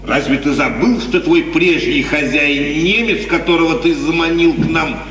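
A man's voice: fairly high pitch, moderate pace, fairly bright tone, distant mic, close to an everyday voice. Man playing sly, menacing outlaw, echoey old recording. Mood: mocking scorn. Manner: sly, mocking, threatening. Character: cynical menacing outlaw assassin plotting an abduction. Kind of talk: 1950s Soviet radio play acting. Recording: echoey, hissy old 1950s radio recording.

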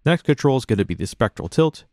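A man narrating a casual step-by-step software tutorial in an informal, explanatory way. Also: medium pitch, animated, fast talker, no room sound, clean studio sound, soft background music.

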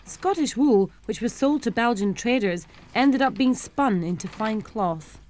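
Bright young woman presenting schools TV. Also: on the high side, lively intonation, a little slow. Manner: clear, simple explanations for children.

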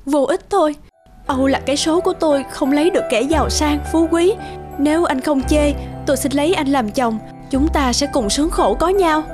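A woman playing a high-voiced, earnest young wife. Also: fairly quick, close to an everyday voice. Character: gentle, earnest, devoted young wife in folktale. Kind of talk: Vietnamese animated folktale dubbing. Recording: music behind the voice.

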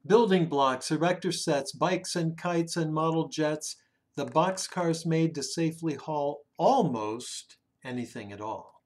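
A man reads a children's picture book aloud expressively, drawing out words and hushing the ends of phrases. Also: on the high side. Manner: dramatic, with drawn-out words and hushed phrase endings. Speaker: children's story reader. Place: small room indoors at home.

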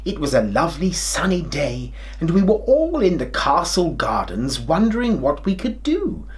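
A middle-aged man playing a kindly, jolly, forgetful old grandad king, telling a children's story in an expressive, theatrical way. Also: on the high side, moderate pace. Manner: theatrical, expressive, with hammed-up hesitations and chuckles.

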